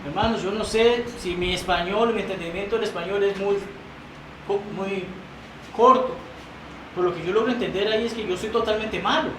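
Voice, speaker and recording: A man's voice: sits high, moderate pace. A man preaching a sermon in a church, earnest and emphatic, reading quoted doctrine aloud and stumbling into restarts now and then. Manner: earnest, rising to emphatic repetition. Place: church sanctuary. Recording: roomy church sound, mic at a distance, noisy.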